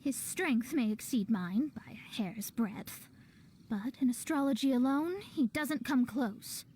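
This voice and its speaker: A woman plays a proud young game astrologer who counts herself a genius. The voice is high and girlish, delivered with theatrical self-assurance. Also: measured pace, a little mellow, a little hiss or hum, close to an everyday voice. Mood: serious, proud. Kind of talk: video game voice acting.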